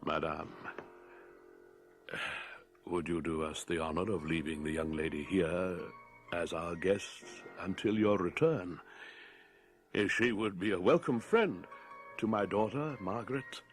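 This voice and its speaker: A man's voice: low voice, unhurried, long pauses. An actor playing a wealthy, courteous father and master of a country house in a radio drama, formal and authoritative. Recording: 1980s radio drama with music.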